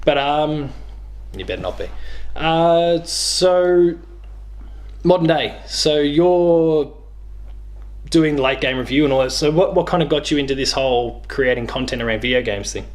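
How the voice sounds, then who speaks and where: A man's voice: a little high, animated, a little slow. A man in his thirties with an Australian accent, chatting casually as he hosts an interview. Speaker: host interviewing a guest. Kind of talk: casual chat about video games. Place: small living room, on a couch. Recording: clear background room noise.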